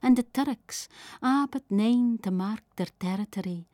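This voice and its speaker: A woman's voice: a little high, unhurried, mellow, dry recording, clean studio sound. A woman with a Shetland Scottish accent reading her own literary writing aloud, as a poet and letter writer.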